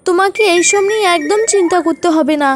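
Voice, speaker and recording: A woman's voice: extremely high pitch, fast, background noise. A woman voicing a young, earnest little bird in a cartoon moral story, with an emotive, pleading manner. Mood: concerned. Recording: music behind the voice. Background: music.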